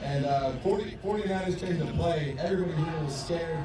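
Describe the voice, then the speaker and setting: A man in his twenties, hoarse from screaming, gushing and rambling in a live interview as a fan. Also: fairly high pitch, fairly quick. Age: 20s. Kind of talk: excited street interview. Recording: echoey, distant, music behind.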